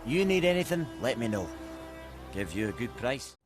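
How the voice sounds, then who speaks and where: A man playing a blunt, indignant village smith in a video game, excitable and grumbling. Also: medium pitch, moderate pace, lightly acted. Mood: grumbling, businesslike. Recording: music behind the voice.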